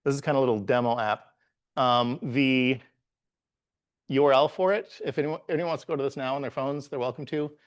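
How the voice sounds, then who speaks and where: A man in his forties, from the Bahamas, giving a live tech meetup talk as a guest presenter, relaxed and halting with frequent "uh"s and restarts. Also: medium pitch, smooth. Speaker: guest presenter, software engineer.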